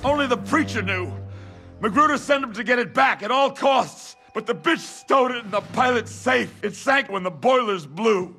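An actor playing a cornered, bitter Old West villain in a video game, with a pleading edge. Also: male voice, very high-pitched, lively intonation, moderate pace, vocal fry, sharp tone, faint music behind, exaggerated voice. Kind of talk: Western video game voice acting.

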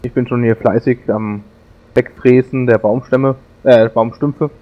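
A man of middle pitch chimes in on a let's play with dry, teasing jokes. His remarks are short and playful. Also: fairly even intonation, unhurried, highs cut off. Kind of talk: casual gameplay chat.

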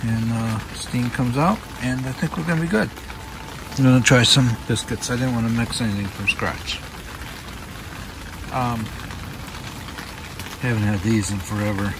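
An older man casually narrating his camping trip, relaxed and rambling with many ums and uhs, recorded outdoors at a campsite. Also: mid-range voice, normal speed, long pauses, noisy background. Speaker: camping vlogger.

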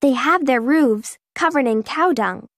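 Eager little girl, high and slow. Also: animated, no room sound, clean studio sound. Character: eager little girl explaining village houses. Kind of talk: scripted textbook narration.

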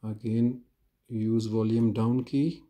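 Man, tutorial host, dark mellow tone, flat delivery, unhurried step-by-step instructions. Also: mid-range voice. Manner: slow, plain and instructive, with frequent "uh" pauses. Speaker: phone repair tutorial host.

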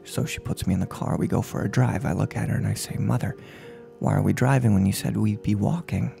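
A man in his twenties playing a soft-spoken, unhinged serial killer in a menacing, mock-polite ASMR role play, close to the microphone. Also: lowish voice, fairly even intonation, brisk, gravelly, soft background music. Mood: brooding, measured. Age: 20s. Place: room at home. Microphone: close desk microphone on boom arm.

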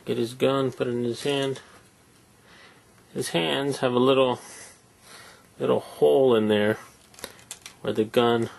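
Male toy reviewer, slow and gravelly, muttering offhand asides into a camera mic. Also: mid-range voice, flat delivery, a little mellow. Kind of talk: casual hands-on review. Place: quiet room at home at night.

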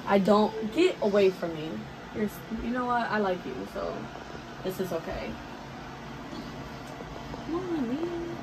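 A woman's voice: mid-range voice, animated, measured pace, long pauses. A young woman chatting casually in a teasing, candid way, picked up by a phone in a small office. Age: young adult. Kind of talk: casual chat. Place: small cluttered indoor back office. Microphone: phone camera nearby. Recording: noisy phone video recording.

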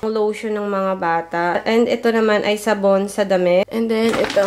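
A woman's voice: medium pitch, fairly even intonation, normal speed, a little hiss or hum. A Filipino-accented woman narrating a haul vlog in a casual, chatty way, listing items one by one. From Philippines. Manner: chatty, itemizing. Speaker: vlogger. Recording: loud background music. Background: music.

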